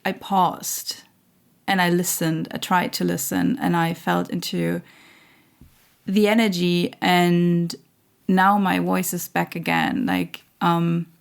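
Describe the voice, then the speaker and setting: A woman hosting her own spiritual channel, talking in a slow, searching way with many fillers and restarts while losing her voice. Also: lowish voice, flat delivery, gravelly. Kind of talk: reflective spiritual monologue.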